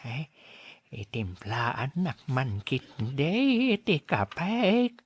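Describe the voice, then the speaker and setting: Man playing slow, wavering old father feigning senility. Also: mid-range voice, sing-song, breathy edge, no room sound, heavily acted. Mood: confused, fretful. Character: elderly father slyly pretending to be senile. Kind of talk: Ilocano audio drama acting.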